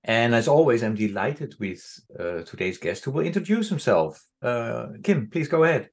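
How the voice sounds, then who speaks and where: A man's voice: medium pitch, lively intonation, moderate pace, darkish tone. A man hosting a podcast interview, encouraging his guest and prompting with short questions. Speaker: podcast host.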